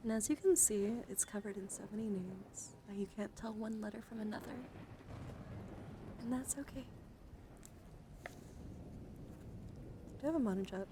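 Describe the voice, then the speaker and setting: A young woman hosts a livestream in a gentle ASMR style. Her mid-pitched voice is breathy and often drops toward a whisper. She is close to the microphone, with clear noise in the recording. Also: normal speed, pauses often. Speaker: livestream host. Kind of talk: ASMR, soft-spoken casual live chat and card reading.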